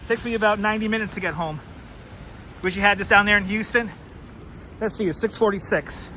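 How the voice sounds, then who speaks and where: A man's voice: high voice, a little fast, bright, forceful tone, a little hiss or hum. A man hosting a live street stream outdoors at night, chatting casually and answering viewers by name, on a clip-on mic. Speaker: livestream host. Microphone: wireless clip-on mic.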